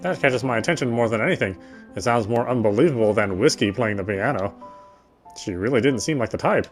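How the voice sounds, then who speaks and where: A man hosting a let's play, reading visual novel narration aloud in an expressive storytelling manner. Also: medium pitch, a little fast, faint music behind.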